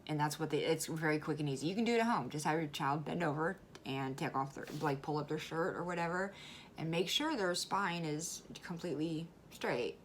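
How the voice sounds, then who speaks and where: A woman with a low, slightly hoarse voice chats earnestly straight to her viewers, doubling back on her words and breaking into soft laughs. Her talk is casual and plain. A faint hiss sits under the recording. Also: brisk. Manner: chatty, repeats words, laughs often. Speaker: vlogger. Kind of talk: casual educational vlog.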